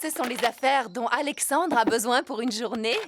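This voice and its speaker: A woman's voice: on the high side, normal speed, background noise, lightly acted. A woman voicing a busy auntie pig with a baby in a dubbed cartoon, who speaks in an encouraging sing-song.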